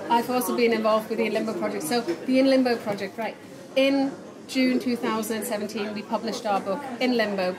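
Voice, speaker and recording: A middle-aged woman hosting a casual podcast chat, chatty and quick to laugh. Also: fairly high pitch. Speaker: podcast host. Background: crowd chatter.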